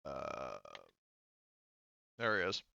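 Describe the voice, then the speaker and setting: A man working as game master, narrating a tabletop game in a casual, improvised, halting way. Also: rumbling low, big pitch swings, gravelly, bright, forceful tone, clear, resonant tone, no room sound, dull audio. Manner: halting, conversational.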